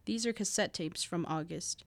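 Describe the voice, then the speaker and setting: A woman playing a determined, earnest young heroine in an audio drama, with an intense, deliberate delivery. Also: lowish voice, gravelly. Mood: solemn narration. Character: determined, earnest young heroine fighting to save her family. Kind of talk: audio drama acting with narration. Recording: recording with faint background noise.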